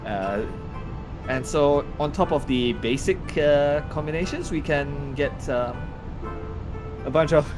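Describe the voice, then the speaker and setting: A man's voice: on the high side, slow. Casual middle-aged man, music behind. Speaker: livestream co-host and game artist. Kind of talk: casual chat, screen demonstration.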